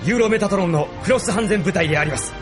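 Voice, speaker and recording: Fast-talking, formal military officer, a male actor. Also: high-pitched, close to an everyday voice. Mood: formal, respectful. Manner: crisp military formality, respectful. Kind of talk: radio drama acting. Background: music.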